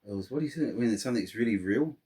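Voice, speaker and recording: A man's voice: mid-range voice, animated, racing, breathy edge. A middle-aged man from Samoa, a podcast guest chatting casually and rambling with many restarts. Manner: rambling, restarts and fillers, warm laughter-prone storytelling. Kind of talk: casual interview chat.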